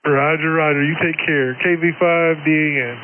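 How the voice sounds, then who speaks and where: A man's voice: on the high side, fairly even intonation, normal speed. An American man making casual conversation as an amateur radio operator, heard over a radio transmission. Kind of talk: casual ham radio contact. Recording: tinny, faintly noisy ham radio signal.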